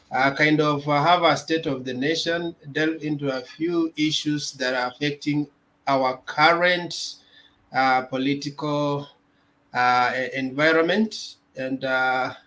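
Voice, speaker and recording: Male host, unhurried, halting intro full of filler pauses. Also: a little high, a bit bright. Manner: many "uh" pauses. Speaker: interviewer and host. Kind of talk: slow, hesitant introduction.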